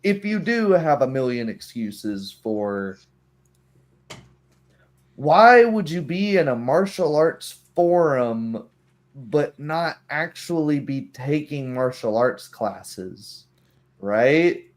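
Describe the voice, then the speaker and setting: A man in a casual, opinionated discussion, arguing haltingly through hypothetical examples and imagined dialogue. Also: fairly high pitch, big pitch swings.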